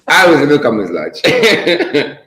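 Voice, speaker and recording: A man's voice: a little high, huge pitch swings, moderate pace, pushed and bright, echoey room. A man co-hosting a casual current-affairs chat, joking and laughing as he slips into dialect. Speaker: co-host.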